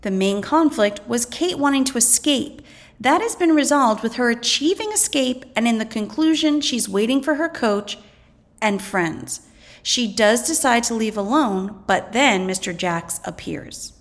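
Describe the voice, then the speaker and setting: A woman's voice: on the high side, animated, measured pace. A woman reading educational material aloud as a teacher, in an instructional way that explains and poses questions. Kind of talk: scripted educational read-aloud narration.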